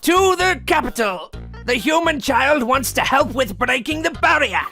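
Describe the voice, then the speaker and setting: Creaky, bright, high-voiced boastful skeleton. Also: male voice, animated, a little slow, soft background music, heavily acted. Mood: proud, excited. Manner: grandiose, earnest. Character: boastful, kindly, childlike male skeleton. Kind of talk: cartoonish fan comic dub acting.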